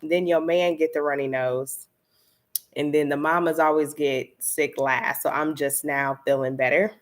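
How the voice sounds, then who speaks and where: Woman, 30s, low-pitched, chatty coach, slightly congested, easygoing live-stream chat. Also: fairly even intonation, unhurried. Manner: chatty, many fillers, laughs often. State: slightly stuffy nose. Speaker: candle business coach hosting a live stream.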